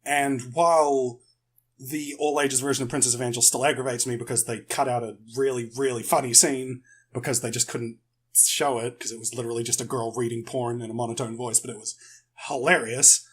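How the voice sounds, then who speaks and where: A man's voice: mid-range voice, flat delivery, a little fast, breathy edge. A man speaking as a podcast guest, explaining his views casually and rephrasing as he goes.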